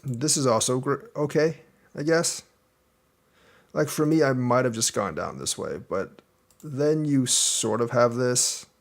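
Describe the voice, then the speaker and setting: A young man walks through a student's board game in a relaxed, conversational way. He thinks aloud as he explains why each move is too passive or not big enough, at an ordinary pitch for a man. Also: unhurried, creaky. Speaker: Go teacher reviewing a game. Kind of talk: casual game review commentary.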